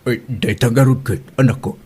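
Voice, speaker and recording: A man's voice: medium pitch, brisk, warm tone, husky, lightly acted. A man playing a puzzled, easygoing middle-aged father in an Ilocano comedy drama, questioning and placating with an Ilocano accent.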